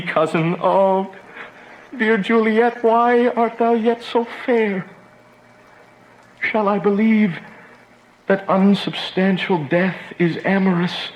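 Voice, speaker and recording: A young tragic lover of Shakespearean radio drama, passionate and despairing. He declaims his lament very slowly in a somewhat high male voice, with faint noise behind him. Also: rough recording.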